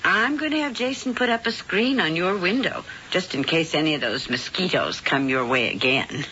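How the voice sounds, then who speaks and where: An actress playing a sugary older aunt with a hidden agenda, doting and syrupy, in a 1970s radio drama. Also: female voice, on the low side, animated, normal speed, bright, forceful tone, a little hiss or hum. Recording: dull old radio broadcast.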